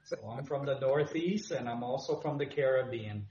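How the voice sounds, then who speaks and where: A man from Puerto Rico, a friar, answering interview questions in a soft, earnest way. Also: medium pitch, normal speed. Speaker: friar and guest. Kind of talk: podcast interview. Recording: roomy, dull sound with faint hum.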